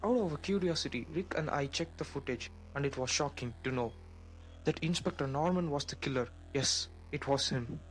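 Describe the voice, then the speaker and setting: Man acting an emphatic, high-pitched police officer. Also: normal speed, lightly acted, low-quality recording. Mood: grave, confiding. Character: urgent young policeman, serious male subordinate officer. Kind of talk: amateur audio drama acting. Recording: humming background noise.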